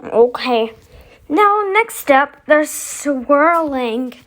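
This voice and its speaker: A woman's voice: high-pitched, expressive, very slow, a bit bright. A woman hosting casually, musing aloud as she announces each step of a voice effects demonstration. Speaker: video host.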